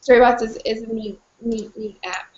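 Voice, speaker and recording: Female co-host, high voice, conversational, dull lo-fi call sound with room echo. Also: fairly even intonation, moderate pace, a little mellow. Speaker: podcast co-host and educator. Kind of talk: casual chat, recommending tools. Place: small indoor office, video call. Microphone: shared computer microphone. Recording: roomy, dull lo-fi video call.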